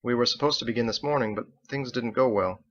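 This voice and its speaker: A man voicing a courteous, resolute paladin leader with formal, measured phrasing, in an illustrated story reading. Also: mid-range voice, fairly bright tone, muffled recording, natural voice. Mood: confiding.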